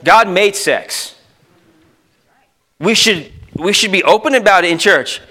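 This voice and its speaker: A man's voice: sits high, lively intonation, a little slow, sharp tone. A man preaching an informal sermon as a youth pastor, speaking emphatically with repeated phrases and conversational asides to his listeners. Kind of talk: informal sermon with scripture quotation and exposition.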